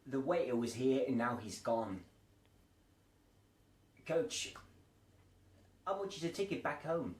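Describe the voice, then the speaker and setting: A man's voice: medium pitch, lively intonation, slow pace, long pauses, darkish tone. Echoey, harried man played by an actor. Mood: anxious. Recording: echoey, distant, music behind.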